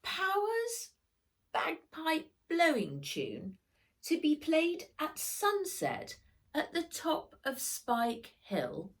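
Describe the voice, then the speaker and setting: Woman voicing an earnest, high-pitched young boy. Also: huge pitch swings, extremely slow, full character voice. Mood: eager. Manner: earnest, emphatic. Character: young boy, eager but easily crestfallen.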